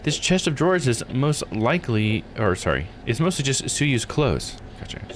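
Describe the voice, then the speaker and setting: A fairly low-voiced man with a very lively, swooping delivery reads game text aloud and thinks through his next move. He sounds casual, like a streamer, with clear noise behind him. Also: moderate pace. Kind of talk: live visual novel playthrough, reading text aloud with casual commentary.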